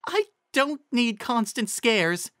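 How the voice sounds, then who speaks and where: A performer voicing an excitable, anxious young female pony in a dramatic fantasy story reading. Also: medium pitch, slow pace, dry recording, studio quality, voice pushed up. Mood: forcedly calm. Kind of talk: dramatic fan fiction reading.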